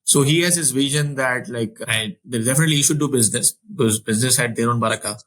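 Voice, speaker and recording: A man's voice: mid-range voice, fast talker, fairly bright tone. A man in his forties, raised in Saudi Arabia, telling his career story as an interview guest in an earnest way, often restarting and repeating words. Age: 40s. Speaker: finance executive guest.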